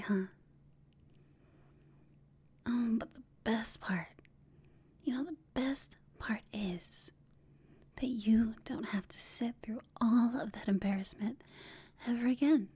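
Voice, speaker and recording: A woman playing a confident, teasing female villain in an intimate ASMR roleplay, speaking in a smug, seductive, breathy whisper. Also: a little high, warm tone, no echo, exaggerated voice.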